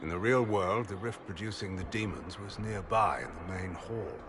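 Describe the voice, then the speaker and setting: An actor playing a grim, skeptical warrior in a video game, blunt and serious in manner. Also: male voice, sits low, moderate pace, background noise, natural voice. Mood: grim, wary. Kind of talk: video game cutscene acting.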